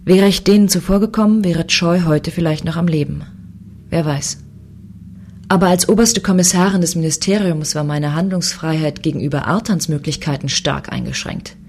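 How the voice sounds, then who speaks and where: A woman playing a low-voiced, proud space commissioner. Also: moderate pace, a little mellow, a little hiss or hum. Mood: brooding, wounded pride. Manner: crisp, authoritative, sardonic. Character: proud, combative female chief space commissioner. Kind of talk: radio play dialogue and inner monologue.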